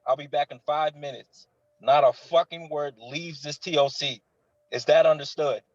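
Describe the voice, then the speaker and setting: A man's voice: a little high, steady tone, normal speed, no echo, highs cut off, lightly acted. A man acting a tense, foul-mouthed military captain who talks in curt demands at a table read. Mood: exasperated, commanding.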